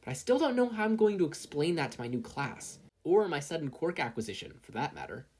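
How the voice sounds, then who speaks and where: A performer voicing an excitable, earnest teenage boy superhero student in an audiobook style, eager and fretful. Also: fairly quick, husky. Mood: eager, flustered. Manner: eager, fretful, high-strung. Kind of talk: audiobook-style character acting.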